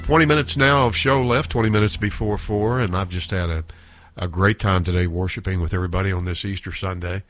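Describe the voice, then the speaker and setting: A man's voice: low-pitched, a little fast, few pauses, a bit bright, faint music behind. A man hosting a radio show, chatting casually between songs in a froggy, nasal voice, laughing easily and stumbling over his words. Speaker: radio host.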